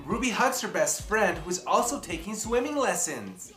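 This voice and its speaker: Animated woman reading a children's story. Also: mid-range voice. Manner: animated, theatrical, dramatic pauses and emphasis. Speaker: picture book reader. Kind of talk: expressive children's story read-aloud. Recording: roomy echo, music behind.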